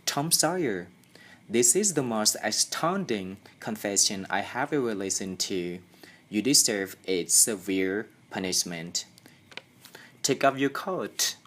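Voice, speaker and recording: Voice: mid-range voice, expressive, slow, fairly bright tone. A young reader with a Thai accent voicing a stern, formal male schoolteacher who scolds a pupil in an audiobook.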